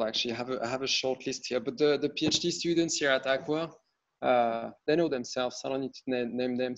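Hesitant man on a muffled video call. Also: medium pitch. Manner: hesitant, many fillers and restarts. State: losing his voice. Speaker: PhD candidate. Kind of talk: thesis defence presentation.

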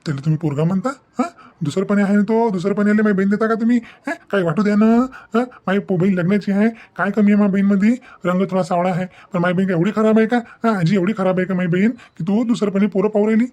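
A woman's voice: mid-range voice, fast talker, few pauses, mellow, voice pushed down, close to an everyday voice. Woman putting on an angry, protective brother. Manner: confrontational, scolding. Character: protective, angry adult brother defending his sister. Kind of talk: Marathi animated family drama.

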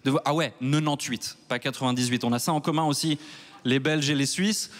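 A man in his thirties from Switzerland doing a stand-up set on a large theater stage with a handheld mic. He talks in a wry, self-mocking way and works up to loud mock outrage. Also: medium pitch, steady tone, brisk. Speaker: stand-up comedian. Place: large theater stage before a live audience.

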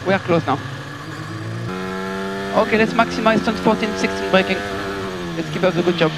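High-pitched male race engineer over noisy radio. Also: moderate pace, gravelly. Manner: clipped, matter-of-fact, polite. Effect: team radio. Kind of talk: terse radio instructions to a racing driver. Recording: team radio with loud engine noise.

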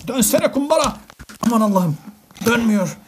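A woman's voice: on the high side, lively intonation, moderate pace, a loud crowd. A woman chatting casually with a child and viewers as a parent hosting a toy unboxing, playfully exasperated. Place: indoors at a table. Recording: clearly noisy home recording with clicks.

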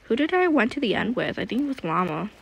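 Young streamer, gravelly fry, loose rambling game chat with lots of restarts. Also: mid-range voice, big pitch swings, fast talker, poor audio. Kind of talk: casual gaming chat.

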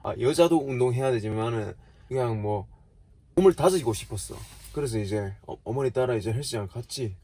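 A young South Korean man in his twenties speaks with a regional dialect in a slightly rough, husky voice. He sits at an average pitch for a man. He chats casually and jokingly, putting himself down a little as he answers questions for his vlog. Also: brisk, mellow. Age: 20s. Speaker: vlogger answering viewer questions.

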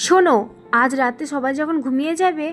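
A woman voicing a scheming, short-tempered wife in a cartoon, with a sharp-tongued, commanding manner. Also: high voice, brisk, close to an everyday voice. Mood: bossy, scheming. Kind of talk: Bengali cartoon voice acting.

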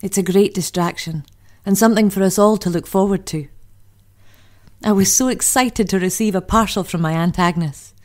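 A woman's voice: medium pitch, moderate pace, a little mellow, lightly acted. A woman playing a homesick, chatty First World War diarist, in a dramatic monologue. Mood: wistful, chatty. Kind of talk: dramatic monologue, diary reading.

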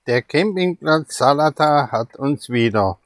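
Ein Mann mittleren Alters, der gemütlich von der Wohnmobilreise erzählt. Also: male voice, on the high side, lively intonation, noisy background. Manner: slow, easygoing, chatty. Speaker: vlogger. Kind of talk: casual travel vlog narration.

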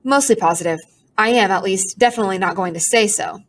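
A woman's voice: mid-range voice, fast talker, natural voice. A woman voicing a melodramatic, self-pitying talking pigeon with a pompous, theatrical manner. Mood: haughty. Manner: theatrical, sighing, haughty complaints.

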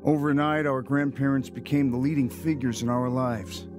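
A man's voice: mid-range voice, fairly even intonation, normal speed, close to an everyday voice. Actor as a reflective man narrating over music. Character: adult man recalling his childhood. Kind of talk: dramatized first-person narration. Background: guitar music.

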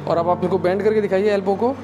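High-voiced man teaching physio exercises. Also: fast, faint music behind. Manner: calm, instructive, explains step by step. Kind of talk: clinic exercise demonstration. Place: physiotherapy clinic room.

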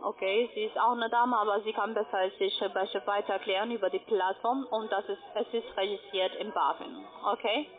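A woman's voice: mid-range voice, steady tone, fairly quick, few pauses. A woman call centre agent with a foreign accent, not a native speaker, explaining things on the phone. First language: not German. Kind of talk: phone sales conversation. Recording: tinny phone line, busy call centre.

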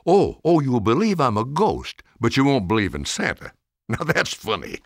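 Storybook role: elderly toy maker, male narrator acting him, teasing, kindly, hugely animated delivery, dry sound. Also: mid-range voice, normal speed, gravelly, no echo, heavily acted. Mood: amused. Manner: chuckling, playful teasing.

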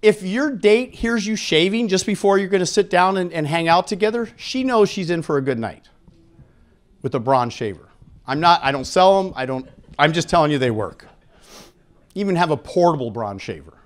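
Male conference speaker, middle-aged, slightly high-pitched, frank conversational delivery with a joking streak, on handheld mic. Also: animated, moderate pace. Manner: jokey, candid, conversational. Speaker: conference speaker on sexual health. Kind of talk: live lecture to an audience, frank and informal. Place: indoor conference room with seated audience. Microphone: handheld microphone.

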